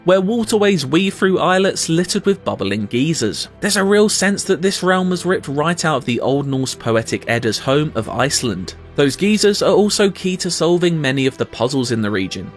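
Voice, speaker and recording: A man delivers a scripted game review in a slightly high voice. His pitch moves with lots of variety from phrase to phrase, and music plays softly underneath him.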